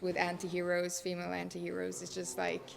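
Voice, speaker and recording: A woman's voice: fairly low pitch, monotone, fairly quick, vocal fry. A woman from Norway, an actress, talking animatedly with fillers and quoted reactions.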